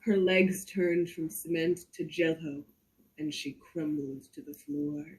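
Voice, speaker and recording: A woman reads a horror story aloud theatrically, drawing out suspense with deliberate pauses. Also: low voice, fairly even intonation, darkish tone, low-quality recording. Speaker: performer reading a story aloud. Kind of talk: slow dramatic reading of a horror story.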